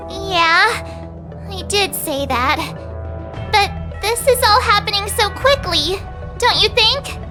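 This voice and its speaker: Very high-pitched excitable teenage girl. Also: slow pace, music in the background, heavily acted. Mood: worried. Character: perky, excitable teenage girl, flustered newbie. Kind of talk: scripted video game voice acting.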